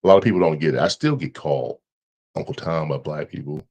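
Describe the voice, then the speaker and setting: A man with a deep voice, a podcast guest talking in a relaxed, halting way, with many restarts and repeated words. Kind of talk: casual conversation.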